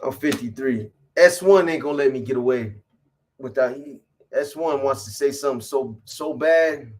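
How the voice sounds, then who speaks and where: A man's voice: mid-range voice, normal speed. Laid-back slangy man in his fifties. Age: 50s. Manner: laid-back, profane street slang, frequent "man" and "bro". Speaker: livestream host reading viewer comments. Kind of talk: casual livestream chat.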